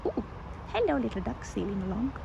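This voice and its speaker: Woman acting a timid young woman, rambling and stammering, highly animated intonation, creaky edges, nature ambience behind, ASMR roleplay. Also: fairly quick, muffled recording, noisy background, full character voice. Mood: shy, chatty. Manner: flustered, stammering, chattering and apologetic. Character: timid, rambling young woman, excitable park regular who loves ducks. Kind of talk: ASMR roleplay, one-sided acted conversation.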